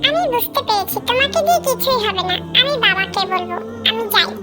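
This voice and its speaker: An extremely high-pitched little boy from a cartoon, acted by a woman. He is whiny and insistent with his parents, over loud music. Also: animated, brisk. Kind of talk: scripted cartoon dialogue.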